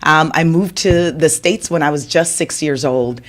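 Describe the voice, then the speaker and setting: A woman's voice: low-pitched, a little slow. A woman from Jamaica introducing herself as a candidate at a neighborhood forum, telling a story with rhetorical asides. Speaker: aldermanic candidate. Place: community media center room.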